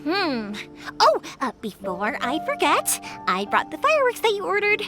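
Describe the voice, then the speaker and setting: A bubbly, caring young woman from an anime game. Her very high voice bounces up and down, impulsive and reassuring, with light music underneath. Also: wildly swooping pitch, normal speed, exaggerated voice. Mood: friendly, reassuring. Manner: bright-spirited, impulsive, reassuring. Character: Yoimiya, bubbly, caring young female fireworks maker. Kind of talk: anime video game voice acting.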